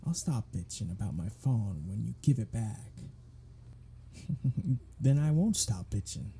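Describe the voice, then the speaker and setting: Man playing a teasing vampire, dark husky voice, slow drawl, sardonic and flirty, audio drama. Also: on the low side, expressive, full character voice. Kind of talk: ASMR roleplay audio drama.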